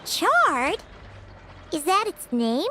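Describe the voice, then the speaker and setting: Squeaky, bright little fairy companion. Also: female voice, huge pitch swings, drawn-out words, slight background noise, exaggerated voice. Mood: puzzled. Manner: wide-eyed, exclamatory, inquisitive. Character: tiny, childlike, chirpy fairy companion. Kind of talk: video game voice acting.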